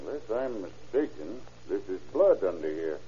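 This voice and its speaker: An actor with an American accent playing a calm, methodical small-town lawman in an old-time radio drama, matter-of-fact and terse. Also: low voice, moderate pace, a little hiss or hum. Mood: businesslike. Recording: muffled, husky-sounding old radio recording.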